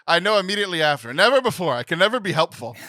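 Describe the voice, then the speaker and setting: A man's voice: high voice, very animated, racing, sharp tone, no echo. A man co-hosting a casual podcast, joking and often laughing, on a close mic. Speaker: podcast co-host.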